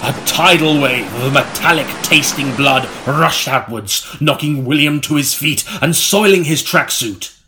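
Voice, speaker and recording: A man reading a mock-serious gothic story as an audiobook narrator, with a melodramatic, deliberate delivery. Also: on the high side, noisy background. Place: recording studio.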